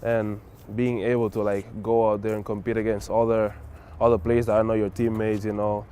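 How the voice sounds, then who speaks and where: Relaxed young man, low mellow voice. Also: moderate pace, slight background noise. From Spain. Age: young adult. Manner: relaxed, many fillers like "like" and "whatever". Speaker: college basketball player. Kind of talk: casual interview answers.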